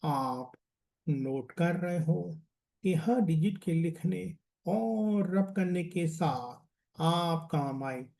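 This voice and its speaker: A man leads a guided relaxation as a meditation coach, soothing and deliberate, repeating phrases and letting them trail off. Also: on the high side, vocal fry, mellow.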